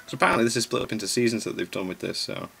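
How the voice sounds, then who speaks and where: Male reaction host, thirties, casual and amused, low-pitched with vocal fry. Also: very fast, over music. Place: small room at home. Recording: cartoon soundtrack playing behind.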